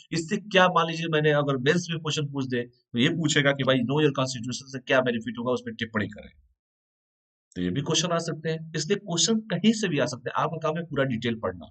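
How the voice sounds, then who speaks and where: Hoarse male teacher lecturing. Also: fairly high pitch, a bit bright. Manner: explanatory, repeats key points, asks students questions. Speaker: exam coaching teacher. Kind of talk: live online lecture.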